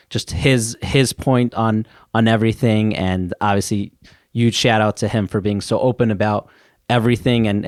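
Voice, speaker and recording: A man's voice: lowish voice, darkish tone, no room sound, clean studio sound. A man hosting a podcast in a casual, conversational way, somewhat hesitant and repeating words as he goes. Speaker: podcast host.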